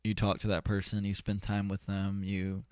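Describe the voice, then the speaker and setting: A man's voice: low voice, monotone, normal speed, a little mellow, clean tone, no room sound, clean studio sound. A man answering as a podcast guest in a casual, rambling, hesitant way with lots of "you know". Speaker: podcast guest, spoken word poet. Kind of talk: casual conversational interview answers. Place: indoor studio.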